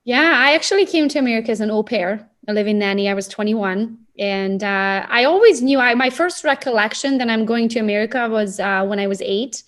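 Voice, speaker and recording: High-voiced Romanian-accented woman. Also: brisk, few pauses, a bit bright. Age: 30s. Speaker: podcast guest, real estate agent and coach. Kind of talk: casual personal storytelling.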